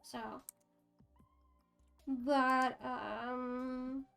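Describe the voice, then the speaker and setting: A woman's voice: sits high, level tone, extremely slow, creaky, fairly bright tone, clean tone, soft background music. A young French Canadian woman with a French Canadian accent, chatting casually on a livestream, rambling with lots of fillers and restarts. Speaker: streamer.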